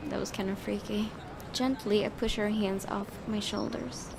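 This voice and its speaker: A woman's voice: mid-range voice, fairly even intonation, moderate pace. A woman hosting a gaming video, reading a visual novel aloud and commenting casually. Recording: clearly noisy home recording.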